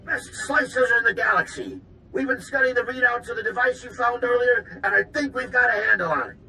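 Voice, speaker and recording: A woman playing a confident, eager tech expert in a video game, brisk, cocky and informal. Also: fairly high pitch, bright, forceful tone, a little hiss or hum, rough recording. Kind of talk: dramatic video game acting.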